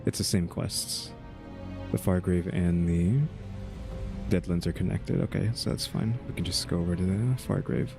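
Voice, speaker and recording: A man's voice: deep voice, fairly even intonation, moderate pace, vocal fry, music in the background. A man giving casual, laid-back gameplay commentary, musing aloud as he plays. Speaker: gamer, video creator.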